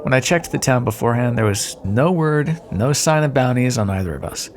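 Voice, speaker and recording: A man's voice: mid-range voice, expressive, fairly quick, a little hiss or hum, natural voice. A man playing a roguish, sardonic but decent thief in an audio roleplay, talking directly and teasingly to the listener. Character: roguish male thief escorting a deposed queen, wry but decent.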